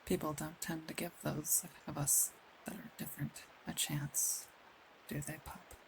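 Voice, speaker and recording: A woman plays a protective she-wolf of a werewolf, older than she looks, in an intimate ASMR roleplay. Her voice has a gravelly fry and is gentle, wistful and soothing, with unhurried pauses. A faint hiss sits behind it. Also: sits low, little pitch movement, rough recording. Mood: tender, reassuring. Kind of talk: ASMR roleplay, intimate scripted acting addressed to one listener.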